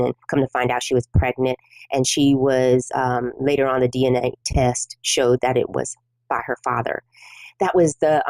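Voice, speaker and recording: Middle-aged woman from south korea, korean native, really low gravelly voice, dry close sound. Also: no room sound, low-quality recording. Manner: soft-spoken and measured, many pauses and fillers. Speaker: guest minister. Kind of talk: personal testimony in an interview.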